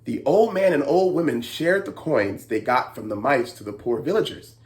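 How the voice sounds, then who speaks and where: This is a storytime host speaking to young children. The delivery is highly animated, with big pitch movement. It moves between expressive storybook narration and instructive chat, often seeking agreement from the listeners. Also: normal speed.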